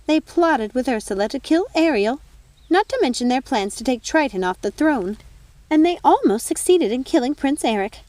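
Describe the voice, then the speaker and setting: A woman's voice: high voice, expressive, moderate pace, darkish tone, dry recording, voice pushed up. A woman voicing a prim, bossy old fairy godmother in a storybook, indignant, theatrical and officious. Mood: officious outrage.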